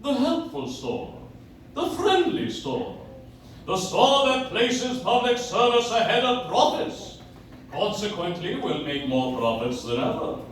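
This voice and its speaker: A grandiose, hearty boss played by a man in an old-time radio play, his high voice swooping widely as he makes pronouncements. He is recorded from a distance in an echoing room. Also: very animated, measured pace. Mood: expansive, pleased. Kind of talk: live stage radio play acting. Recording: echoey distant room recording, faint noise.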